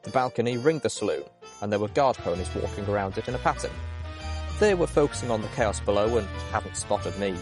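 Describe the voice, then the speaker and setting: A man with a fairly low voice reads an adventure story aloud. He narrates it dramatically in the first person, as an audiobook reader, with music playing behind him. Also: expressive, brisk.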